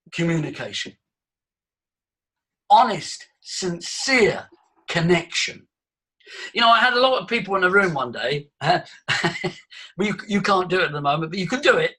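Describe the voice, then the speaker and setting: Man, voice coach, husky, slightly high, leads breathing drills. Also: bright, forceful tone, poor audio. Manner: breathy, demonstrative, leads vocal exercises. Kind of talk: live workshop teaching.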